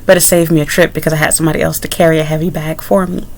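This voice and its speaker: A young woman recounts a personal ordeal straight to camera, loose and candid, drifting into side remarks before picking the thread back up. Her voice sits fairly low with a noticeable gravelly fry running through it. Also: level tone, very fast, darkish tone. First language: English. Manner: candid, rambling with frequent asides. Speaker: vlogger. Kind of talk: casual story-time monologue.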